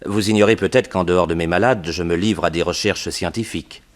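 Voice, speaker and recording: A courteous physician, acted by a man with a fairly low voice. He speaks in a measured way, in the style of a 1960s radio detective play. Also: close to an everyday voice. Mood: measured, concerned. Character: measured, courteous bachelor doctor and researcher. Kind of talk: 1960s French radio detective drama acting.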